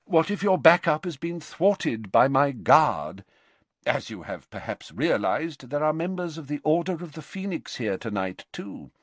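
Brief: man doing a soft-spoken elderly wizard, calm and kindly mild, higher and quieter than the narration, dry close sound. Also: measured pace, dry recording. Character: wise, gentle very old male wizard headmaster.

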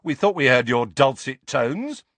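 A man's voice: mid-range voice, big pitch swings, a little slow, fairly bright tone, dry recording, voice pushed up. A man voicing a cheeky, beaming teenage prankster twin in a storybook, breezy and teasing. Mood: cheerful teasing.

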